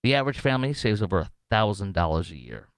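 Crisp-voiced American male talk radio host. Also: fairly low pitch, big pitch swings, fast talker, no room sound, clean studio sound. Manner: opinionated, jokey, digressive, emphatic. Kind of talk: unscripted talk radio monologue with live ad reads.

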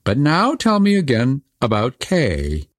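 A man's voice: mid-range voice, wildly swooping pitch, unhurried, no echo, studio quality, voice pushed up. A man voicing a wild, bossy little robber girl who threatens and teases.

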